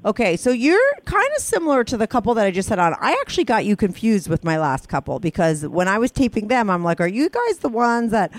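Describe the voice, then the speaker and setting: A woman's voice: medium pitch, sing-song, fast talker, hardly any pauses. A woman of about fifty with a New Jersey accent, hosting a podcast in a chatty, rambling way, swearing freely and laughing at herself. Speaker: podcast host. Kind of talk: casual rambling podcast intro.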